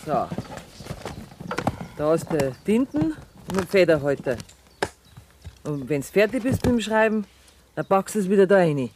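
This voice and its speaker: A woman's voice: fairly low pitch, very animated, moderate pace, long pauses, a little mellow, a little hiss or hum. An actress playing a practical, bossy woman with a Bavarian accent in a radio drama, matter-of-fact in her talk. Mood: brisk, practical. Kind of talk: 1970s German radio drama acting.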